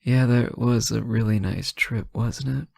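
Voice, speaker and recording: A man playing a sleep-deprived, teasing boyfriend on an intimate late-night call, soft-spoken, hesitant and gently joking. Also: medium pitch, measured pace, creaky, no room sound. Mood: playful, fond. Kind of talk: intimate audio roleplay, one side of a phone call.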